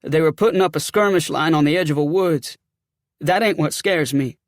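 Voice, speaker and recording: A man's voice: on the high side, normal speed, no echo, studio quality, voice pushed up, lightly acted. A man voicing a scared young Confederate sergeant in an audiobook, with folksy, ungrammatical, blurting speech. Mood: urgent alarm. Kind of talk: audiobook character acting.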